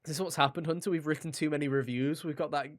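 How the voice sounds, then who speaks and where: A man's voice: a little high, fairly even intonation, quick pace. Casual, enthusiastic man talking games. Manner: enthusiastic, joking, profane. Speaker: podcast host and game reviewer. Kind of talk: casual gaming chat.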